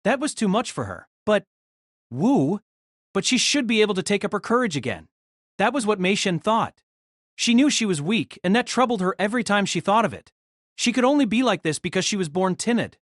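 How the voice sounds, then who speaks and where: A woman narrator reads a light novel in a scripted storytelling style. Her pitch moves in a lively, animated way, and the recording is close and dry. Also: a little fast, no room sound. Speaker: narrator and reader.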